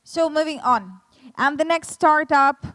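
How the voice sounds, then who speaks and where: A high-voiced woman moderates a startup pitch session, reading introductions from a script. She has a light, self-deprecating touch, and her voice is recorded dry and close. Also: measured pace, fairly bright tone, no echo. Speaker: event moderator.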